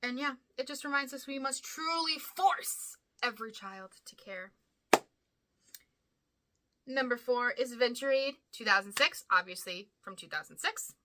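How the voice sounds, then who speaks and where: A woman's voice: on the high side, expressive, measured pace, sharp tone, slight background noise, low-quality recording. A woman hosting a ranking video, giving fan commentary in an enthusiastic, emphatic manner.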